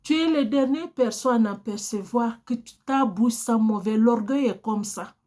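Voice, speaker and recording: Slow high-voiced woman preaching. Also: mellow. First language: Portuguese. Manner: earnest, exhorting, direct address. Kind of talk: sermon.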